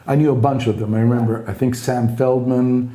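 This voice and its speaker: A middle-aged man being interviewed, reminiscing in a relaxed, anecdotal way with plenty of fillers. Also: medium pitch, brisk. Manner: relaxed, anecdotal, frequent fillers and repeated yeahs.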